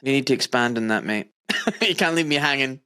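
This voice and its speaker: A man's voice: medium pitch, animated, a little fast, sharp tone, clean tone. A man hosting a podcast over a remote call, chatting casually and animatedly with friendly slang and laughter. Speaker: podcast host. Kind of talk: casual interview chat.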